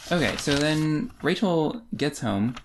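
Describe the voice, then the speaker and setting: Podcast co-host with noticeable vocal fry, mid pitch, informal and wry book chat. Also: lively intonation, slow, background noise. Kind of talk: casual book discussion chat.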